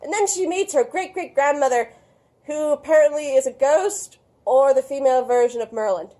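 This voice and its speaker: A woman's voice: sits high, normal speed, dark tone, close to an everyday voice. A woman voicing a bossy, snarky puppet critic, recapping a film in a snappy, sarcastic way. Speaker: puppet show reviewer. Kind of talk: comic movie recap.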